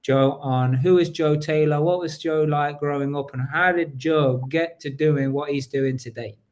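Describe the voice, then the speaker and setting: Lively man hosting a live show. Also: fairly high pitch, moderate pace. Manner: energetic, repeats himself, asks the audience to engage. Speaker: host.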